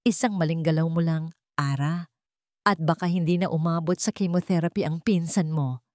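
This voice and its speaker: A woman's voice: deep voice, fairly even intonation, normal speed, a little mellow, no echo, clean studio sound, lightly acted. A woman with a Filipino accent voicing a threatening, commanding male blackmailer in a story. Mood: menacing. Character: menacing male blackmailer on the phone.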